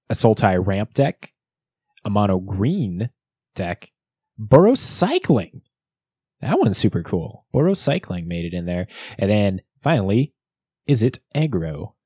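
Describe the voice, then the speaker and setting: A man with a lowish, relaxed and mellow voice, co-hosting a podcast. He talks casually and jokes as he goes through a recap. The sound is close and dry. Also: no room sound. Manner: jokey, bantering. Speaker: podcast co-host.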